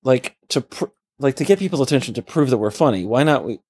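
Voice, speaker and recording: A man co-hosting and editing a casual podcast, breaking in often and talking in quick bursts. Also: medium pitch, animated, a little mellow, husky. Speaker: podcast co-host and editor.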